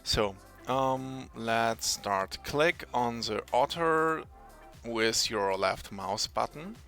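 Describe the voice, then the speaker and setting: A man whose first language is German, explaining software step by step in English, searching for words and pausing as he goes. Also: medium pitch, faint music behind. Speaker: tutorial maker. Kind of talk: screen-recorded software tutorial.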